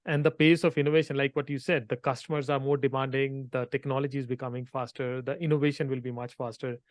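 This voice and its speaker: A man from India hosting an interview podcast, talking in an earnest, halting way and often repeating words. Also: medium pitch, flat delivery, no room sound, clean studio sound. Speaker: podcast host.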